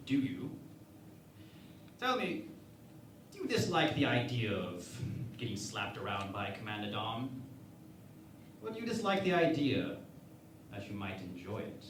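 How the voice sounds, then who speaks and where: An actor playing a pompous, lecherous starship captain in a parody radio play, with a theatrical, grandiose delivery. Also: male voice, medium pitch, huge pitch swings, takes it slowly, long pauses, fairly bright tone, far from the mic, heavily acted. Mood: suggestive, gleeful. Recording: echoey room, off-mic, background noise.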